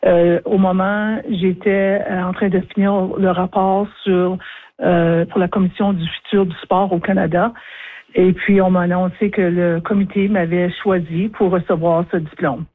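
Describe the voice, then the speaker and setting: A woman, answering interview questions slowly with many pauses and restarts over the phone. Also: fairly low pitch, little pitch movement, dry recording. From northern Ontario. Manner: hesitant, many fillers and restarts. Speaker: interview guest, former chief justice. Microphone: telephone. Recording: tinny phone line.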